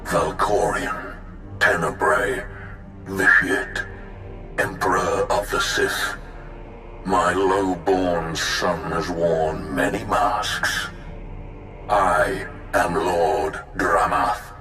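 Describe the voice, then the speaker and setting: Casting: imprisoned ancient Sith spirit, very low and measured, imperious, bitter, grandiose game performance. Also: male voice. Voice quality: deep, forceful. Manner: grandiose, slow, deliberate. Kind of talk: dramatic video game acting. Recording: echoing, with music underneath.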